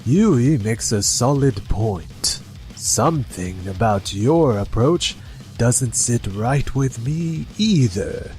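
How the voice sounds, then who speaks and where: A man playing a stern, dignified elderly company chairman in an anime-style manga dub, measured and authoritative. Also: medium pitch, lively intonation, darkish tone, faint music behind.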